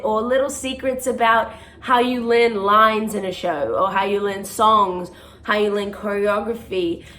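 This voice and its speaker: A young woman, a touring musical theatre performer talking earnestly to camera in a casual vlog, often pausing on "um". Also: medium pitch, fairly bright tone. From born in South Africa, raised in New Zealand. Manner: earnest and chatty, with frequent ums.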